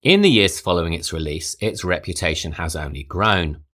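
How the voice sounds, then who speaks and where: A man, hosting a podcast and reading a scripted film commentary in a measured, articulate way. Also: low voice, expressive, fairly bright tone. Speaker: podcast host.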